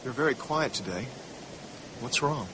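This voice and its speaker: A man's voice: medium pitch, expressive, measured pace, background noise, lightly acted. An actor playing a brash, impulsive young man in a radio drama, informal and direct in his talk. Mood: testy.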